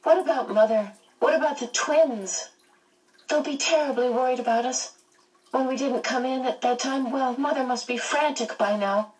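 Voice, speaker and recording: A woman's voice: high-pitched, normal speed, far from the mic, pitched above the performer's own voice, close to an everyday voice, poor audio. A woman voicing an anxious young girl in a storybook, worried and pleading.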